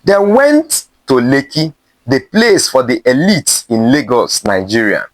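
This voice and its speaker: A man narrating a scripted folktale in a measured way, with dramatic pauses between short phrases. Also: mid-range voice, big pitch swings, no echo. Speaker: storyteller.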